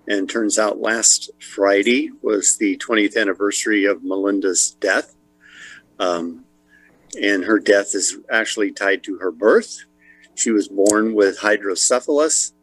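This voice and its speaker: A man on a video call sharing a personal story of loss, speaking haltingly with pauses. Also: on the low side, dry recording, poor audio. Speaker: guest. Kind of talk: personal testimony.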